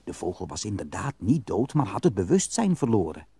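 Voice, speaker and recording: A man's voice: fairly low pitch, sing-song, fairly quick, dark tone, a little hiss or hum. A man narrating a fairy tale as a scripted storyteller.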